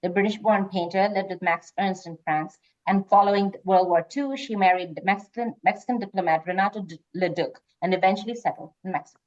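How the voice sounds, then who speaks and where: A woman's voice: mid-range voice, steady tone, brisk, hardly any pauses. A woman hosting a quiz, reading questions aloud and moderating informally. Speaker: quiz host. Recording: dull lo-fi online call audio.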